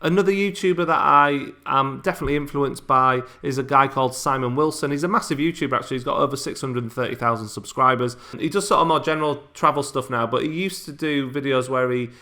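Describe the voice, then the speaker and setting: Guy, bright voice, medium pitch, chatty q&a vlog, lots of ums. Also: fast, hardly any pauses. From England. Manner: chatty, informal, frequent ums and restarts. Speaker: YouTuber. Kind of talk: casual subscriber Q&A.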